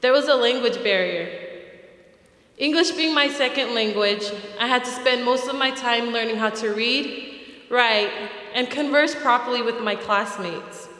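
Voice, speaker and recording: Young woman from american samoa reading her speech, bright voice, big echoey hall. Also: a little high. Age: young adult. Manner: earnest, measured delivery. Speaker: graduation speaker. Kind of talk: prepared speech read aloud. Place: large event hall. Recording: echoey hall with long reverb.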